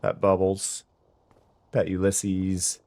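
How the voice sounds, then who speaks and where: A young adult streamer, sniffly today, chatting casually with viewers and restarting sentences often. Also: male voice, deep voice, monotone, slow pace, dark tone. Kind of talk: casual gameplay chat.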